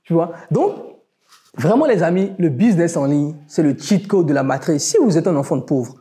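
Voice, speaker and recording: A man's voice: on the high side, animated, normal speed, mellow. A man with a West African French accent, talking in a casual podcast about online business, emphatic and motivational, speaking straight to listeners.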